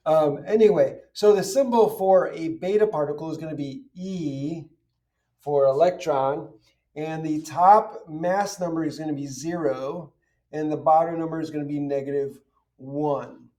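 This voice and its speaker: A man's voice: on the high side, a little slow, dark tone, recorded from a distance. A man teaching in an informal, step-by-step way, posing questions and answering them himself as he explains. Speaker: chemistry teacher. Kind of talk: informal step-by-step lesson, worked examples.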